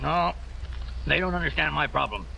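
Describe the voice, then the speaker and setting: Pompous gumball tycoon. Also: male voice, fairly high pitch, expressive, normal speed, vocal fry, bright, forceful tone, exaggerated voice. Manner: pompous, blustering, theatrical. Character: pompous bombastic male gumball tycoon, middle-aged. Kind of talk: 1940s radio acting. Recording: dull old radio broadcast with faint hiss.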